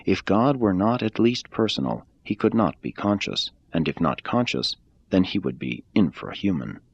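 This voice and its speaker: A man reading a religious text aloud in a measured, deliberate way. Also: sits low, animated, slightly rough, dry recording, lo-fi sound. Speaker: reader.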